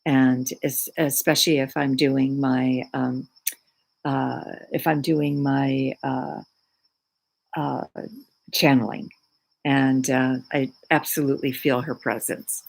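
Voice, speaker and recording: A woman from Poland, whose first language is Polish, talking casually about her crystals as a spiritual teacher, pausing often for words. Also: very deep voice, level tone, vocal fry, darkish tone, low-quality recording. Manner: unhurried, searching for words with many fillers. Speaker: spiritual teacher and Reiki practitioner.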